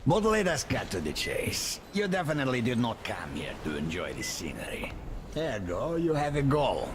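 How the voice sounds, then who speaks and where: An actor playing a suave, menacing warlord baron in a video game, speaking in a deliberate, pompous and courteous way with a gravelly voice. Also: male voice, medium pitch, wildly swooping pitch, noisy background. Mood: veiled threat. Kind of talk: dramatic video game cutscene acting.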